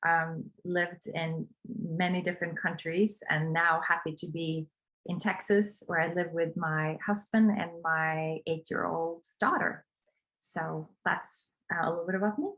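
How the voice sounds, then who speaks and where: A woman's voice: on the low side, fairly even intonation, unhurried, a bit bright, rough recording. A woman from Norway introducing herself on an online panel, hesitant and full of fillers. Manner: hesitant, many fillers and restarts. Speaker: panelist, HR executive.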